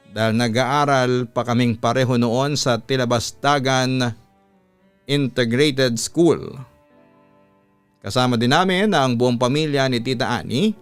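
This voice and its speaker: Smooth-voiced Filipino man telling a story over music. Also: medium pitch, no echo. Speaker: radio announcer and storyteller, host. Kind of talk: scripted horror-story narration of a listener's letter. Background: background music.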